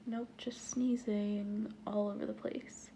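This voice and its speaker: A woman with a stuffy nose, talking casually to her viewers, breathy and often half-whispered between sighs. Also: mid-range voice, flat delivery, takes it slowly, mellow, a little hiss or hum. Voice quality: stuffy nasal voice. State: stuffy nose, sniffling and sneezing. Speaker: vlogger. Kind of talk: casual talk to camera.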